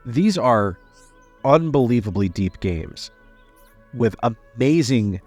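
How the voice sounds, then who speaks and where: A man's voice: mid-range voice, lively intonation, slow, darkish tone, faint music behind. A man hosting a game review voice-over, congested from a sinus infection and talking with gushing enthusiasm. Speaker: game channel host.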